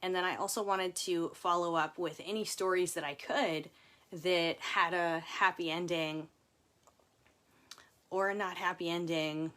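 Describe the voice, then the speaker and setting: Woman, slightly lower pitch, author reading aloud, chatty, informal livestream style. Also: fairly even intonation, a little slow, a bit bright. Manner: informal, chatty, with asides to viewers. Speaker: author reading from her book. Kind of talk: casual livestream book reading and chat.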